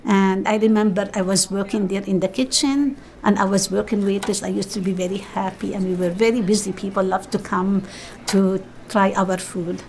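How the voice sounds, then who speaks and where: A woman's voice: mid-range voice, steady tone, moderate pace, darkish tone, a little hiss or hum. A middle-aged woman from Lebanon with a Lebanese accent, Arabic her first language, speaking in an interview in simple, uneven English. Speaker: documentary interviewee.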